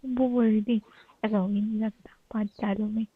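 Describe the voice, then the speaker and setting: Young woman chatting casually on a phone call, husky and soft, thin tinny sound. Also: mid-range voice, flat delivery, moderate pace. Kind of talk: casual private phone chat.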